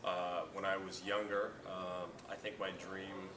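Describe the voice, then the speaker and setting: Deep-voiced man in his thirties. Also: level tone, unhurried. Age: 30s. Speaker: fraternity councilman. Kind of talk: interview answers. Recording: roomy, distant mic, background noise.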